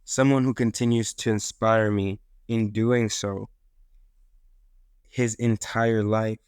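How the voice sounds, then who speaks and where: A man from South Africa, an educator and podcast co-host, speaking in an earnest, measured way. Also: on the low side, flat delivery, a little mellow, clean tone, dry recording, clean studio sound. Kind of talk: reflective podcast monologue.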